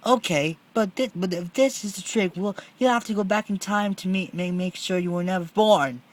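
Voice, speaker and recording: A suspicious schoolgirl in a storybook, put on by a man reading aloud, in a raised pitch. She is bossy and blunt, always challenging. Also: moderate pace, lightly acted.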